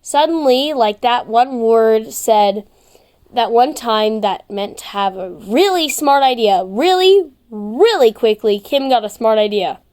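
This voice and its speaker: A woman's voice: fairly high pitch, animated, unhurried, darkish tone, clean tone. A woman narrating a story expressively as an amateur reader, sometimes stumbling and rereading words.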